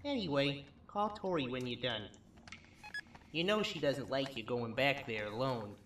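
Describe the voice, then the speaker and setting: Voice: medium pitch, a little slow, fairly bright tone, slight background noise, natural voice. A performer voicing a laid-back, joking, protective male skeleton with casual delivery in a comic dub. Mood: casual, gentle. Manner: casual, drawling puns.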